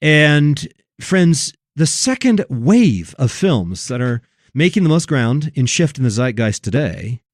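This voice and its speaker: Male talk host, mid-pitched with big melodic swings, preachy and emphatic, recorded dry and close. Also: big pitch swings, slow, no room sound. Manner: emphatic, preachy, repeats phrases for effect. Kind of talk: religious commentary on film and culture.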